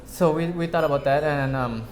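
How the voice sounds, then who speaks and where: A man's voice: a little high, moderate pace. A man from Thailand, a restaurant co-owner, talking loosely in an interview. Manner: loose, rambling, non-native phrasing. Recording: echoey room with crowd noise.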